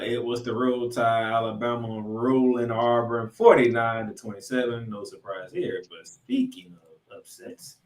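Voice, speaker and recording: A man hosting a sports podcast, recapping games in an animated, emphatic showman's delivery with dramatic announcements. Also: mid-range voice. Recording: echoey room, distant from mic.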